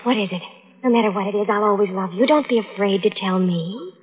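A woman's voice: mid-range voice, fairly quick, breathy edge, natural voice. An actress playing a scheming young fiancée who sweet-talks her lover before coldly blackmailing him, in a 1940s radio drama. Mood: falsely tender. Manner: sweetly coaxing turning cold and cutting. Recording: dull old radio broadcast.

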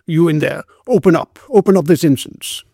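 Storybook role: gruff male police constable acted by a man, stern, authoritative, blunt, dry close sound. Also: fairly high pitch, expressive, fast talker, darkish tone, no room sound. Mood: commanding, loud. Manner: commanding, scolding, growling.

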